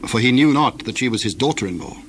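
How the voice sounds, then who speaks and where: A man narrating scripture from a script in a measured, formal style. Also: medium pitch, expressive. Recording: older recording with faint noise.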